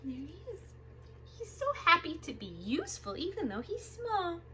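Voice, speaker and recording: A woman reading aloud to young children as a storytime host, expressive and sing-song, drawing out the sound words. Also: very high voice, normal speed, slight background noise. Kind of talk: animated picture-book read-aloud for young children.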